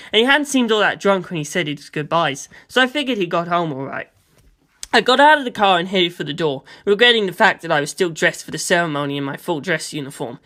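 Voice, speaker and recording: Bright, forceful story narrator. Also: mid-range voice. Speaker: audiobook reader. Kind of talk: expressive first-person story narration.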